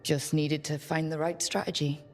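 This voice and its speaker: An actress playing an earnest young heroine in a video game who doubts herself. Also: female voice, sits low, little pitch movement, normal speed, a little mellow, lightly acted. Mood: unsure. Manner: earnest, unsure. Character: earnest young female hero, self-doubting. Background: faint game music.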